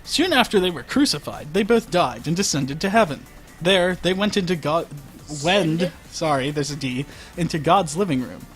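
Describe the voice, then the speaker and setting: A man's voice: on the high side, animated, normal speed, a bit bright. A man reading comic fiction aloud on a livestream, wry in delivery and given to breaking off for asides. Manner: wry, breaks off to comment on the text. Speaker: story reader. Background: faint music.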